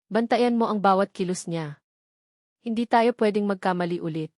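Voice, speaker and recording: A woman's voice: mid-range voice, normal speed, darkish tone, no room sound, close to an everyday voice. A woman storyteller plays a haughty executive assistant in a narrated drama. She is stern and commanding, scolding with cold menace. The sound is dry, with no room around it. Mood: menacing.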